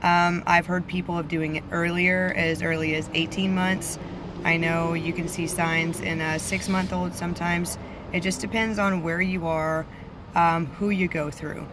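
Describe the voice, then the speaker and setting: Woman, allergy-rough voice, flat even delivery, chatty vlog with faint street noise behind. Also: fairly low pitch, unhurried. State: voice a bit off from allergies. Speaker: vlogger, parent. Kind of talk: casual advice vlog.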